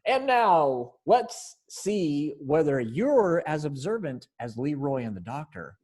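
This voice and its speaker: Male readers theater narrator-director, high-pitched, unhurried, playfully hammy and improvised. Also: big pitch swings, a little mellow, slightly rough. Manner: playful, improvising, slow and halting. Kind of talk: informal hammy announcing and coaching.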